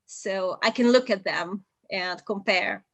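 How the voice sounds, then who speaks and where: A woman's voice: mid-range voice, no echo. Bulgarian-native woman, young translator, methodical interview guest, dry close recording. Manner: measured, methodical, with frequent ums. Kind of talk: interview, giving advice.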